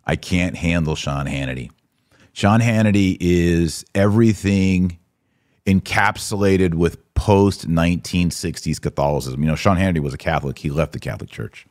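A man's voice: sits low, normal speed, a little mellow, dry recording, clean studio sound. An American man hosting a live podcast, commenting and answering viewers in an emphatic way, repeating phrases for stress. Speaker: podcast host.

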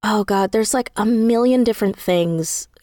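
A woman speaking casually as an interview guest, telling things in an animated, emphatic, self-deprecating way. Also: mid-range voice, moderate pace, no echo, studio quality. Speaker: interview guest, audio drama writer.

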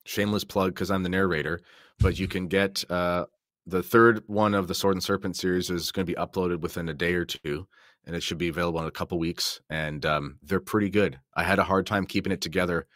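A man's voice: deep voice, steady tone, brisk, fairly bright tone, no room sound, clean studio sound. A middle-aged man talking as a podcast guest in a casual, conversational way. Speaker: podcast guest and audiobook narrator. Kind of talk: casual discussion.

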